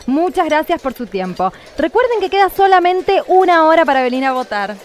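Gushing female reporter as an actress plays her, Argentine accent, high pitch, flustered, radio theatre, faint noise. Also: big pitch swings, a little fast. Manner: fussy, flustered. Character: excitable, gushing small-town election reporter woman. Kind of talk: live amateur radio theatre acting.

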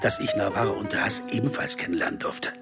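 An actor playing a brooding, driven jazz pianist turned amateur sleuth in a German radio drama. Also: male voice, fairly low pitch, quick pace, loud music behind the voice, close to an everyday voice. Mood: angry, wounded. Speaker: lead actor and narrator. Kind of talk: German radio drama acting with first-person narration.

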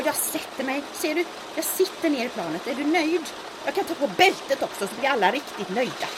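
A woman playing a defiant captive in a wartime audio drama, all sarcasm in a high, swinging voice. A rushing noise runs underneath. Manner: sarcastic, mocking.

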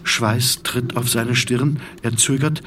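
A man reading scripted literary narration for a radio drama in a measured, sober manner. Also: mid-range voice, fairly even intonation, distant mic, background noise.

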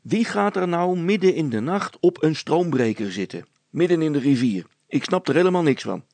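A man voicing a suspicious, grumbling river skipper in an audiobook story, calling out loudly. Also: on the high side, animated, moderate pace, no room sound, voice pushed up. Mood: distrustful, grumbling. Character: suspicious, gruff Dutch river barge skipper, shouting.

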